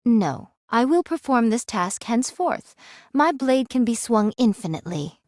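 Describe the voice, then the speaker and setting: A woman's voice: a little high, very animated, normal speed, darkish tone, no room sound, studio quality. An actress voicing a stern, weary and stoic swordswoman in a video game, with formal, solemn phrasing. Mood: weary, resolute. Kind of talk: dramatic game voice acting.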